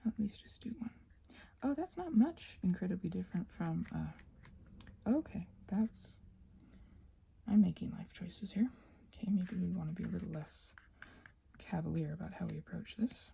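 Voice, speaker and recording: A woman chats in a very breathy, husky voice with heavy vocal fry. Her tone is mellow and soft-spoken, often dropping into a whisper. She wanders through her thoughts effusively, as in a casual ASMR ramble while painting. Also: lowish voice, animated, moderate pace, long pauses. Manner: effusive, wandering, thinking aloud. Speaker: host of a craft ramble ASMR channel.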